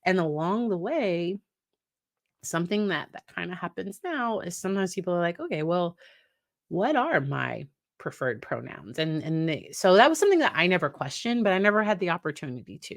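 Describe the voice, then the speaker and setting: A woman in her thirties, a psychologist talking casually to camera about parenting, with a naturally deep voice and a conversational manner. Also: sing-song, a little fast, dry recording, clean studio sound. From United States. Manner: conversational, warm laughs, acts out quoted dialogue in a higher voice. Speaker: licensed psychologist, host. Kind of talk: casual educational talk to camera.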